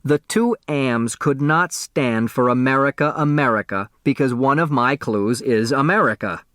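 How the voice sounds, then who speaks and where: A man voicing an excitable man eager to solve a puzzle in an audiobook. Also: medium pitch, normal speed, dry recording, studio quality, lightly acted. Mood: reasoning.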